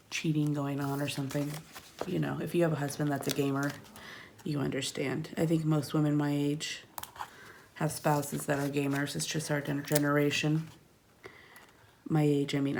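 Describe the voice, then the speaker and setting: A woman in her thirties chatting casually at home while placing planner stickers, rambling and sometimes murmuring quietly to herself. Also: deep voice, creaky, a little hiss or hum. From California. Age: 30s. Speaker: planner vlogger. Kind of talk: casual plan-with-me narration. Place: at home, tabletop.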